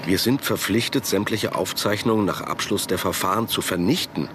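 A man's voice: lowish voice, moderate pace, noisy background, close to an everyday voice. An actor playing a shrewd middle-aged male manager in a radio drama, formal, discreet and self-important. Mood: formal, guarded. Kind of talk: German radio drama acting.

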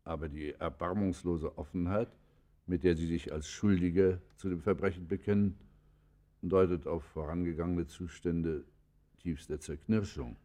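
An actor playing a stern, moralizing magistrate who questions a criminal in a grave, formal, admonishing manner for a radio play. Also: male voice, sits low, steady tone, moderate pace, dark tone, lightly acted. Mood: accusing, solemn. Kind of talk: German radio play acting.

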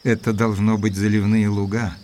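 An actor playing a reserved, sceptical gentleman investigator who tells his story in a measured way in an audio drama. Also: on the low side, flat delivery, slight background noise, natural voice. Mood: curious. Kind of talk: dramatised first-person narration and dialogue.

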